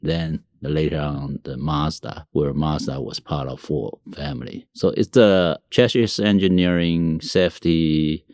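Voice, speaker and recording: An older man with a Taiwanese accent, a native Mandarin and Taiwanese speaker, telling stories casually as an interview guest in a halting way. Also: very deep voice, fairly even intonation, a little mellow, no echo, studio quality. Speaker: company CEO, interview guest.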